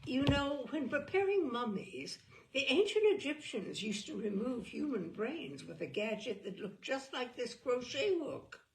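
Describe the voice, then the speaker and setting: Woman acting a prim, macabre spinster, slow-paced. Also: high-pitched, very animated, slight background noise, exaggerated voice, low-quality recording. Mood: prim menace. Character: macabre old-fashioned spinster, primly chatty.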